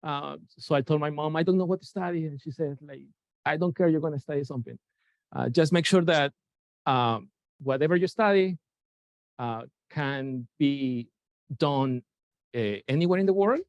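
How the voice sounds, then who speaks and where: A man's voice: on the high side, normal speed, no room sound. A man, giving an informal science lecture, hesitant with many fillers and self-corrections. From Peru. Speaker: lecturer, NASA chemist. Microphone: room mic at a lecture, Zoom shared. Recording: dull, dry lecture recording.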